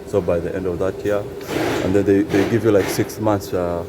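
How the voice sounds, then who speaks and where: A man from Congo with a very low voice talks about his mechanic work in a hesitant, stop-start way. The sound of a busy workshop hums clearly behind him. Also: flat delivery, very noisy. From Congo, grew up in Uganda. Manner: halting, many restarts and "you know". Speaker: bus mechanic. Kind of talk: casual interview answers. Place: large vehicle workshop.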